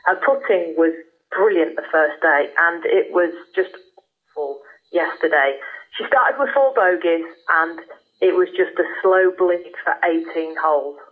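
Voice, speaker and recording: A woman's voice: on the low side, measured pace. A woman giving conversational expert golf commentary as a guest broadcaster, over a narrow, muffled radio link. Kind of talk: conversational sports punditry.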